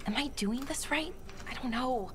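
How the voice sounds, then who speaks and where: A woman playing a young elf in a video game, earnest, thoughtful and grieving, speaking a little haltingly. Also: high voice, natural voice. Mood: wistful, unsure. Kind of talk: video game character dialogue. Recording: loud game music underneath.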